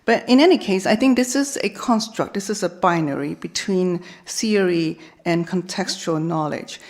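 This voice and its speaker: A woman's voice: fairly low pitch, normal speed, darkish tone. Hesitant woman. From Hong Kong. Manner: hesitant, stammering restarts. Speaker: conference panelist, academic. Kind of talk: conference panel remarks. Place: conference hall.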